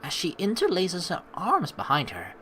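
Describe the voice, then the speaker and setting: A woman's voice: on the low side, wildly swooping pitch, normal speed, fairly bright tone, slight background noise. A woman with a playful, teasing manner, commentating on a game and reading its story text aloud. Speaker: let's player. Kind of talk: gaming commentary mixed with reading visual novel text aloud.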